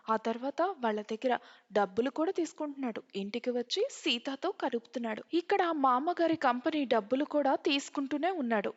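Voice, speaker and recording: Female narrator for scripted tales, very high voice, dull recording with long reverb.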